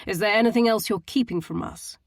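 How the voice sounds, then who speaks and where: A woman's voice: medium pitch, fairly quick, no echo, studio quality, pitched above the performer's own voice, lightly acted. A woman reading a stern, severe man. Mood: pressing. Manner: severe, pressing, disdainful.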